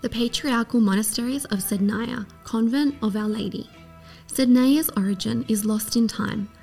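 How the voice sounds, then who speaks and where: Woman narrator, husky tone, measured scripted reading, soft music underneath. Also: fairly high pitch. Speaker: documentary narrator.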